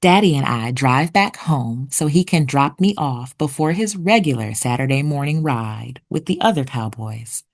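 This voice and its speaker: A woman reading a children's picture book aloud expressively, with deliberate storytelling pauses. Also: very deep voice, animated. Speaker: children's read-aloud narrator.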